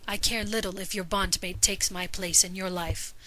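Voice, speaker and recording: A woman voicing a cool, blunt alien woman in an audio story, logical and disdainful, with flat, formal delivery. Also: mid-range voice, normal speed, lightly acted, low-quality recording.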